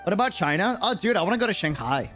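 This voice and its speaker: Animated high-pitched American man streaming. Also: quick pace, faint music behind. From United States. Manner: slangy, reactive, chatty. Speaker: live streamer. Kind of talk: casual game livestream commentary.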